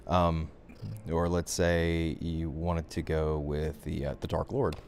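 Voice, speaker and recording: A man giving a software tutorial as instructor, talking through the steps in an informal, conversational way. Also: very deep voice, level tone, takes it slowly, a little hiss or hum.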